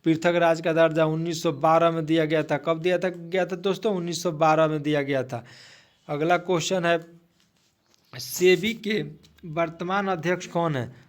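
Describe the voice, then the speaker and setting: A man teaching an exam-prep quiz, reading out questions haltingly and repeating the answers to his listeners. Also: a little high, fairly even intonation. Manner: repetitive, asks then restates answers, addresses listeners as friends.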